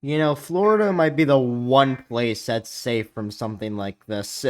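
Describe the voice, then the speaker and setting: A man's voice: medium pitch, normal speed. A man hosting a reaction video, reading captions aloud and adding casual commentary.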